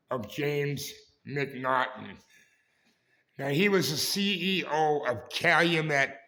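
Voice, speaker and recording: A man hosting a casual vlog with a raspy voice, talking in a halting, rambling way and correcting himself as he goes. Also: a little high, pushed and bright. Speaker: vlog host. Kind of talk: casual walking-tour vlog. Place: indoors in a historic building.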